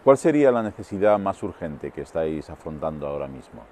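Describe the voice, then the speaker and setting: A man's voice: extremely low pitch, very animated, fairly quick, darkish tone, faint music behind. A man working as a TV reporter, introducing a report and asking interviewees short, direct questions. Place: volunteer dining hall at a refugee camp.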